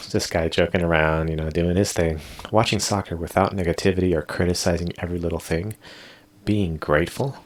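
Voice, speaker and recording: A man hosting a casual solo podcast, reading tweets aloud and rambling through his comments with laid-back asides. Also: deep voice. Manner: laid-back, rambling, chuckling asides, lots of "dude" and "you know". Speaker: podcast host.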